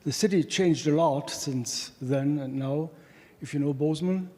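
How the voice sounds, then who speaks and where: A middle-aged man with a German accent, an economics professor giving a conference talk from a podium, speaking haltingly with many restarts and fillers. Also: on the high side, warm tone. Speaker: economics professor presenting research. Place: large conference hall, at a podium. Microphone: handheld microphone. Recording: hall reverb.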